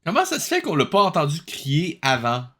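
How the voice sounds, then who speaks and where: A man's voice: a little high, huge pitch swings, brisk, over loud music. A man with a Quebec French accent hosting a comedic review, giving casual, analytical and sarcastic reaction commentary. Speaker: host of a comedic review show.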